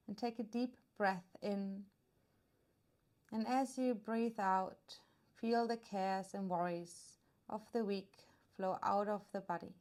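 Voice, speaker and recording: Really slow soothing lady doing a guided relaxation, soft dark mellow voice, lots of pauses. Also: medium pitch. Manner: soothing, unhurried instruction with long pauses. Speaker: yoga nidra teacher. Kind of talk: guided meditation narration.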